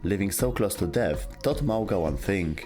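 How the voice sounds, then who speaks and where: A man narrates a scripted video game lore explainer. His voice sits fairly low, and there is quiet music underneath. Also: expressive, moderate pace.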